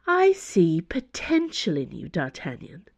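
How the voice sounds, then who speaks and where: A woman's voice: on the low side, huge pitch swings, measured pace, creaky, husky, no echo, heavily acted. A woman voicing an imperious, silkily menacing older female cardinal in an audiobook, with haughty, sarcastic delivery. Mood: silky persuasion. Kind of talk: audiobook character voice.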